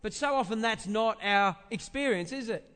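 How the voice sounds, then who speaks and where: A man's voice: high voice, a bit bright, clean tone. A man with a big voice, preaching a conversational sermon as a pastor, repeating phrases and asking rhetorical questions. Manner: halting repetition of phrases for emphasis, rhetorical questions. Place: large indoor church space. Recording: reverberant hall sound.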